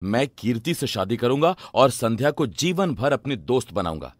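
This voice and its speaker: A man playing a dutiful, thoughtful young son in an animated moral story, speaking earnestly and respectfully. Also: mid-range voice, normal speed, no echo.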